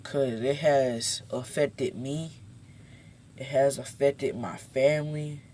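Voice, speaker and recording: Halting, slow-talking teenage boy. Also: medium pitch, steady tone, darkish tone, slight background noise. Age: teens. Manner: halting, repeats words, gets emphatic. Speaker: YouTuber. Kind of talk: casual talk to camera. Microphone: phone.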